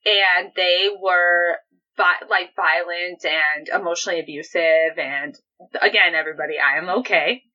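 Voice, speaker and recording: A young woman in her twenties with a high, girlish voice, talking frankly and chattily as a podcast guest. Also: fairly even intonation, moderate pace, bright, forceful tone. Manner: blunt, candid, chatty with frequent restarts. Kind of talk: casual interview chat. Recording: dull lo-fi sound.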